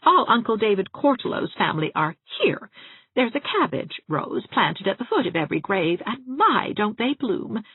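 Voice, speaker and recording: A woman's voice: medium pitch, very animated, moderate pace, slightly rough, dry recording, narrow, tinny audio, voice pushed up. A woman voicing a ladylike small-town spinster dressmaker in an audiobook, chatting genteelly with relish for grim family gossip. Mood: chatty, genteel. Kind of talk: acted audiobook dialogue.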